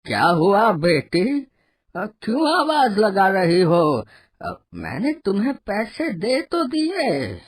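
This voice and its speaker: An actor plays a gentle old benefactor in a moral cartoon story. The voice is husky and slow, with hesitant, fatherly phrasing that swings widely in pitch. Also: huge pitch swings, no echo, studio quality, exaggerated voice. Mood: puzzled concern. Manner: hesitant, drawn-out, fatherly delivery. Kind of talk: animated moral story dialogue.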